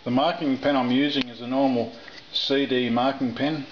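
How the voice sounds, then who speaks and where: A middle-aged man explaining his tiling work in a casual, halting way, with many fillers, filmed indoors in a kitchen on a camera mic. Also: medium pitch, steady tone. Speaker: home renovator. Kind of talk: casual how-to commentary while working. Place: kitchen under renovation, indoors. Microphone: camera mic, off-camera speaker. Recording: roomy, dull camera audio with faint noise.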